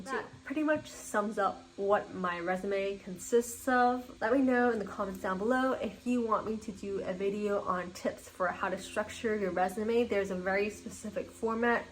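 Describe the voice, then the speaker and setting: A young woman in her twenties, a native Mandarin speaker, hosts a walk-through vlog. She talks in an upbeat, orderly way at an ordinary middle pitch. A faint hiss sits under the recording. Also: low-quality recording. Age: 20s. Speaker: vlogger.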